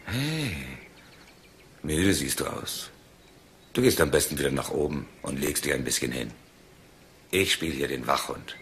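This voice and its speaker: Breezy possessive lover, low voice, brusque, self-assured, crime drama, faint noise. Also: male voice, animated, measured pace, creaky, long pauses, a bit bright, heavily acted. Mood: brusque, protective. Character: breezy, possessive male lover posing as family friend. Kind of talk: German dubbed TV crime drama as radio play.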